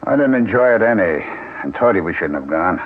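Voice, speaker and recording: A man's voice: low-pitched, animated, brisk, background noise. A man playing a bitter, paranoid husband who drinks too much, speaking curtly in a radio drama. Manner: curt and suspicious. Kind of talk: 1970s radio drama acting. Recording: tinny old radio broadcast.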